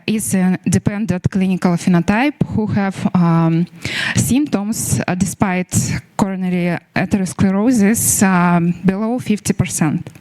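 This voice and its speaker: Halting woman presenter. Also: mid-range voice, darkish tone. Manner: halting, self-correcting delivery. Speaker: young medical researcher presenting at a contest. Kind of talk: prepared scientific presentation.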